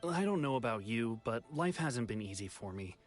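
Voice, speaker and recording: An actor playing a regretful young runaway who has returned home, speaking sincerely in anime game style. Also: male voice, medium pitch, animated, normal speed, close to an everyday voice. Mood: wistful, regretful. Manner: wistful, sincere. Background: background music.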